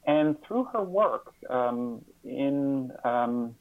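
Halting, gravelly man with muffled sound. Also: medium pitch, expressive, no echo. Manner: halting, thoughtful, with pauses and repeated words. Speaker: interview guest, author and hospice volunteer. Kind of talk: reflective interview answer.

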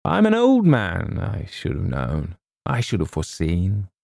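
A man performs an old English army colonel for a storybook reading. The voice is low and gravelly with lively swings. He is bluff yet sentimental, faltering and repeating himself like a heartbroken gentleman soldier. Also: a little slow, no echo, studio quality, exaggerated voice. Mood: wistful, resigned. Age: older. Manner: hesitant, repeats himself, stumbles over names. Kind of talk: theatrical audiobook character voice.

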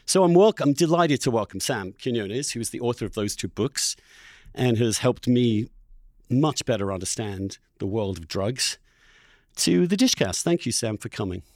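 A man with a fairly high-pitched to medium voice hosts an interview podcast. He is articulate and emphatic, building long, discursive thoughts in a conversational way. The sound is dry and close, with no room on it. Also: expressive, normal speed, a little mellow, no echo. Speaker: podcast host. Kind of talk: conversational interview with spoken introduction.

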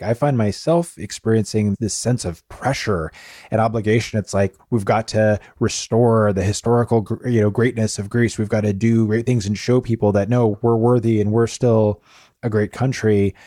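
Mellow low-voiced man asking questions. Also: no room sound, studio quality. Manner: curious, thoughtful, reflective questioning. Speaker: podcast host. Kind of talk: interview conversation.

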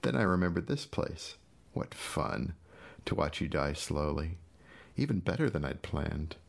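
A vengeful brother in a dark short story, acted out by a male reader, low-pitched and menacing. He taunts his helpless victim with mocking, sing-song questions and cruel relish. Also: expressive, unhurried, vocal fry, heavily acted. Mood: gloating, raging.

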